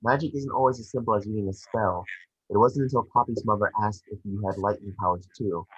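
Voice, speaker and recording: A man reading the part of a gentle, remorseful witch ghost mentor in an amateur table read, earnest and measured. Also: on the low side, slightly rough, dull audio. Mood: advising.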